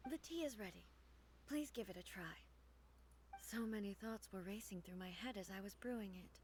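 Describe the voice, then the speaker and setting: An actress playing a solemn, grieving immortal female ruler in a video game, speaking in a hushed, measured, formal way. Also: mid-range voice, slight background noise, lightly acted.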